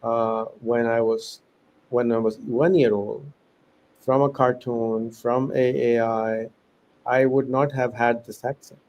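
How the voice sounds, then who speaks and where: A man's voice: mid-range voice, little pitch movement, slow, dull audio. A man with an accent leaning American, earnestly explaining his ideas in a casual interview, listing examples with restarts and fillers. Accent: non-native English, American-leaning. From Pakistan. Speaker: podcast guest, education founder.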